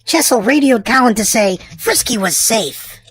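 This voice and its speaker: Female read-aloud host for young children, high voice, expressive and drawn-out delivery, dry close sound. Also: breathy edge, no echo. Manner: theatrical, drawn-out emphasis for small children. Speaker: children's storybook reader and channel host.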